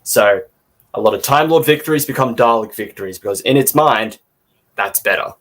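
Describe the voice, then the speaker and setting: A man's voice: medium pitch, normal speed, a bit bright. A man co-hosting a podcast, giving his opinions in a casual review discussion.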